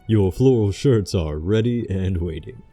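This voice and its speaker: A man's voice: sits low, a little slow, mellow, soft background music. A man playing an amused, laid-back military comrade with a dry teasing manner in an audio drama.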